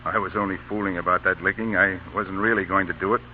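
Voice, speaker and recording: Union colonel, male actor, lowish voice, authoritative but humane, period radio play, tinny sound. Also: fairly even intonation, fast, lightly acted. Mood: grave sympathy. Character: Union colonel, stern yet kindly, fatherly soldier. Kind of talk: 1930s-1940s radio acting. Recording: tinny, hissy old radio broadcast.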